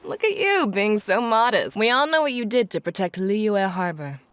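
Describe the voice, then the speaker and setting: A woman voicing a playful, excitable female sailor in a pirate crew for a video game, spirited and teasing. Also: medium pitch, normal speed, no echo. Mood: playful, excited. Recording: background music under dialogue.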